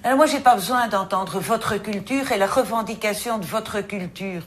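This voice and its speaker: A woman's voice: mid-range voice, normal speed. A woman prosecutor, questioning a suspect firmly in her office. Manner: stern, firm, pointed questions.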